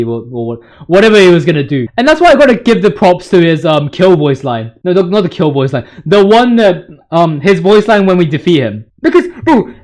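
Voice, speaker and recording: High-pitched male voice, video host, casual gaming commentary, hyped slangy rant, emphatic repeats. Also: a little mellow.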